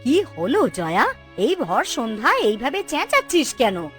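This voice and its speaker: A woman's voice: sits high, very animated, measured pace, soft background music. A woman voicing a stern, bossy elder wife in a cartoon folk tale, with a scolding, commanding manner. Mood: angry scolding.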